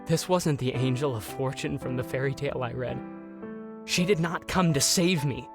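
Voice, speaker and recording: A man's voice: medium pitch, moderate pace, music in the background, natural voice. A man playing an anxious, bookish young prince in a dramatic fandub, with a hesitant, overwrought delivery. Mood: despairing, dramatic. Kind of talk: dramatic fandub acting with inner-monologue narration.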